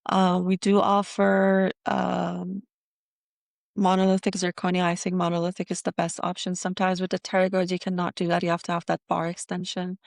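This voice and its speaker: A woman from Iran, a dentist guest in an interview, talking casually with many pauses and fillers. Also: lowish voice, monotone, gravelly, no room sound, clean studio sound.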